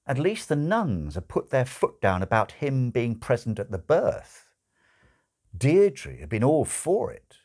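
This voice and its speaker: Expressive older man reading comic fiction. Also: fairly low pitch. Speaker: audiobook-style reader. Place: small furnished room at home, indoors.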